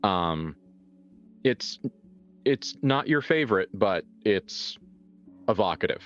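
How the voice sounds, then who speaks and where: Low-voiced man narrating haltingly, slightly muffled. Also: expressive, fairly bright tone. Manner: halting, repeats and reworks phrases as he improvises. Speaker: game master. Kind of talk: improvised tabletop horror narration, conversational. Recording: muffled lo-fi sound, music behind. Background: background music.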